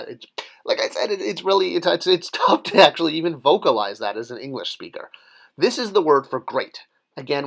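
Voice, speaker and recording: A man of middle pitch gives a casual drawing lesson, explaining each symbol step by step. He keeps it friendly and pokes fun at his own drawing and pronunciation. The delivery is relaxed, like a teacher talking over his work on paper. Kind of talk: casual tutorial.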